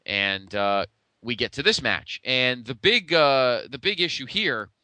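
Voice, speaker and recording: Clear, bright-voiced man podcasting. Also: medium pitch, expressive, measured pace, no echo. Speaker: podcast co-host. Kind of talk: casual pro wrestling retrospective chat.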